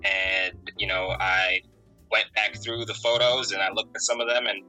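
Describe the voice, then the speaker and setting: A man in his thirties from Connecticut, talking in an easygoing, meandering way, full of little fillers and restarts. His voice is very bright and sits a bit low, with faint music underneath. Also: normal speed, low-quality recording. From Connecticut, USA. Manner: relaxed, rambling, many fillers. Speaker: interview guest, musician and photographer. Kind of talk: casual conversational storytelling.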